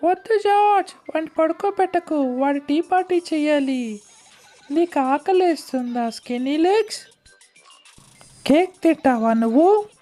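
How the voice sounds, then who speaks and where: A woman's voice: sits high, expressive, unhurried, mellow, faint music behind. A woman voicing a bossy, playful young girl pig in a children's cartoon, with a childlike, pleading way of talking.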